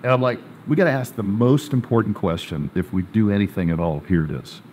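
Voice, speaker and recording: A man hosting a casual interview, chatty and joking, asking quick follow-up questions. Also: on the low side, darkish tone, a little hiss or hum. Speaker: host.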